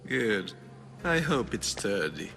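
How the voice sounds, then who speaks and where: Sly, low-voiced wealthy schemer. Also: male voice, big pitch swings, takes it slowly, gravelly, sharp tone, exaggerated voice. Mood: smug, calculating. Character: sly, smug wealthy schemer in ancient Greece, hiring a mercenary. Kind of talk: cinematic video game acting. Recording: background music under dialogue.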